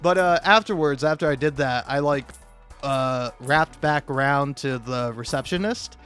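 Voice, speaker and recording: A young man telling casual, self-deprecating stories in an animated, rambling monologue, recorded on a modest home mic. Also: medium pitch, moderate pace, smooth. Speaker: video creator. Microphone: cheap mic, home recording. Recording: amateur mic with occasional plosive pops. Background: music.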